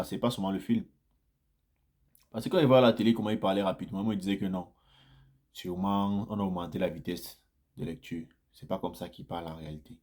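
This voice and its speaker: Male vlogger, lower register, conversational storyteller who repeats himself and warms into animated anecdotes. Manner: chatty, repeats phrases, grows animated in anecdotes. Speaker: vlogger sharing immigrant experience. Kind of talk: casual first-person storytelling to viewers.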